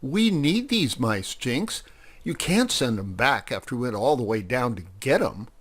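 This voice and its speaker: A man voicing an excitable, grumbling male pig in a children's story, exclaiming in exasperation. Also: mid-range voice, a little slow. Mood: urgent.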